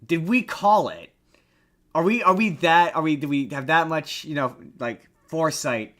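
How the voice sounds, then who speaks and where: A man co-hosting a podcast in casual chat, telling things in an animated way with some mock boasting and casual swearing. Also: on the high side, moderate pace. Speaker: podcast co-host.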